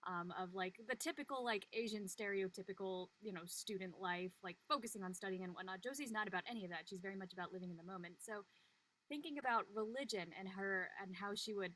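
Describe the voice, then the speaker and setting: A woman's voice: medium pitch, fast, fairly bright tone, rough recording. A woman, an actor on a live show, chatting casually about her character with lots of "like" and "you know".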